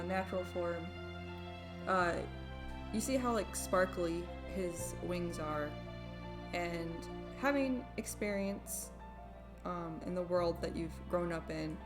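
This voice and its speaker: A woman narrating a tabletop fantasy game as game master, with somewhat halting phrasing. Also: on the low side.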